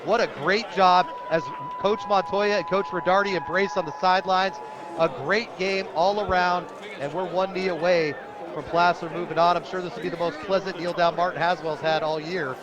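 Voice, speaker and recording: An American man doing live radio play-by-play of a football game in an excited, emphatic way. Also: high voice, moderate pace, lo-fi sound. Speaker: play-by-play sports announcer. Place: outdoor football stadium. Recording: stadium crowd behind, outdoor game broadcast. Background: stadium crowd.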